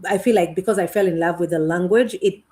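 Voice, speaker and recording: Middle-aged Kenyan-accented woman, lowish voice, unhurried thoughtful guest on a video call. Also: warm tone. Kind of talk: conversational interview.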